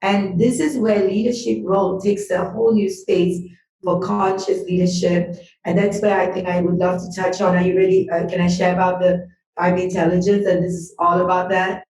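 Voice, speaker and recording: A woman's voice: fairly low pitch, little pitch movement, normal speed, a little mellow. A woman guest on a podcast, sharing a personal reflection in an earnest, emphatic way. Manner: earnest, emphatic, speaks of energy and healing. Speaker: podcast guest, business school owner and healer. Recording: roomy sound from a distant mic.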